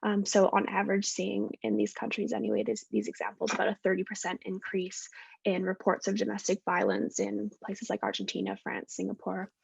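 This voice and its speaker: Husky Canadian woman on a video call. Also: mid-range voice, flat delivery, brisk, no room sound. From west coast of Canada. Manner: friendly, many fillers and self-corrections. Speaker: guest speaker, postdoctoral researcher. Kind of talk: online presentation talk. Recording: dull lo-fi video call audio.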